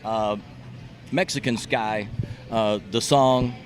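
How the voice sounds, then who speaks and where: A man hosting an interview, laid-back and quick to laugh and agree. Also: medium pitch, fairly even intonation. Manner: laid-back, laughs often, short affirmations. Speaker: interview host. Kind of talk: casual interview chat.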